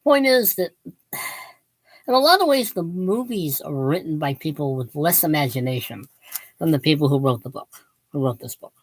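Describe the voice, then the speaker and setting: Woman, late fifties, low pitch, dry recording, casual book chat, rambling, opinionated, self-deprecating. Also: animated, normal speed, no echo. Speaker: book vlogger.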